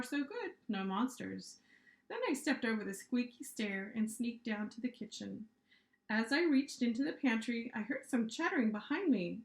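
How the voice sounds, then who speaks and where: A woman reading a children's story aloud as an author, with expressive narration. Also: a little high. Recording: echoey room.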